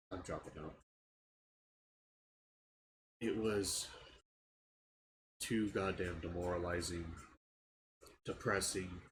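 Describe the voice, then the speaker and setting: A young man with a stuffy nose, talking in a casual vlog about his frustrations, halting and restarting his words. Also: low-pitched, background noise. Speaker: channel owner giving an update. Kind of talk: casual personal vlog rant.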